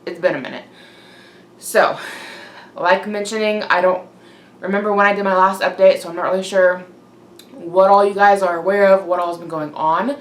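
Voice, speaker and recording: A woman's voice: mid-range voice, fairly even intonation, moderate pace, pauses often. Congested young woman, echoey room. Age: young adult. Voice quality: slightly congested, breathy. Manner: informal, chatty. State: touch of a cold, slightly winded. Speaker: vlogger. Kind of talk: casual chat to camera. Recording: roomy echo, clear background noise.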